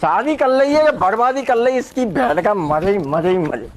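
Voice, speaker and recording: A man's voice: sits high, animated, moderate pace, very noisy. A man voicing an exasperated cartoon husband, griping in a comic rhythmic rant. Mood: mock exasperation. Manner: repetitive catchphrase, griping.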